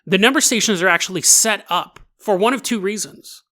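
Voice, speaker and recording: A man hosting a podcast, telling conspiracy stories casually and jokily, with animated, drawn-out emphasis and an amused manner. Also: high voice. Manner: jokey, self-amused, chuckles through his points. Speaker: podcast host. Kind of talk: casual solo storytelling about conspiracy theories.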